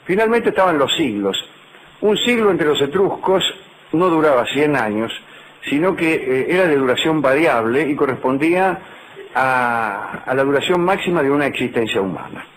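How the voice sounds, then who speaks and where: A man's voice: on the high side, expressive, moderate pace, a little hiss or hum, rough recording. A middle-aged man with a Rioplatense Spanish accent, hosting a radio show as a humorous lecture with dry, digressive asides. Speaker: radio host.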